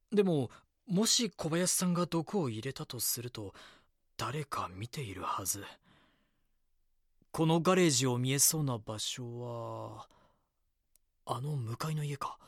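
A man's voice: mid-range voice, no room sound, clean studio sound. An actor playing a young, earnest magazine reporter in an audio drama, politely probing with his questions. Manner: polite, deferential when questioning.

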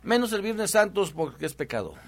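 A man's voice: sits high, big pitch swings, brisk. A man hosting a promotional health talk, speaking loosely with many repeats and fillers. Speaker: host of a health show.

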